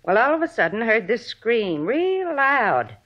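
An actress playing a suspicious, bossy, chatty neighbor in a 1950s radio drama, with brusque, folksy, ungrammatical speech. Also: female voice, on the high side, very animated, a little slow. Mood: chatty, recounting. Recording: muffled old radio recording.

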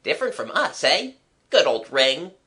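A woman's voice: sits low, sing-song, normal speed, fairly bright tone. A woman voicing a cocky, matter-of-fact schoolboy in a storybook, with a blunt, slangy way of talking. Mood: smug, scornful.

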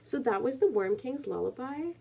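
A woman in her twenties reading poetry and chatting casually on her own video at home, in a measured, deliberate way. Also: a little high, animated, vocal fry, dark tone, breathy edge. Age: 20s. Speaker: poetry reader and video creator. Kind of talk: spoken word poetry reading with casual introduction. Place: small room at home, indoors.

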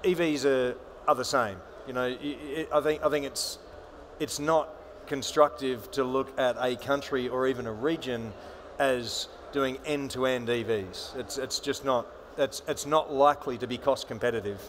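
A man makes his case on a conference panel. His voice sits at a middle pitch and he argues firmly, restarting a word now and then, over a faint hum from the hall. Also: fairly even intonation, normal speed. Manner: fluent, assertive, with small restarts. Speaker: panelist, banker. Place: large conference stage with audience.

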